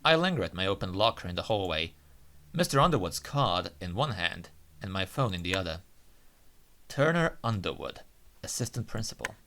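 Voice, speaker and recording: A man's voice: low voice, sing-song, normal speed, fairly bright tone. A man reading a visual novel aloud as game narration, with occasional asides of his own. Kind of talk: narrated read-aloud let's play with asides.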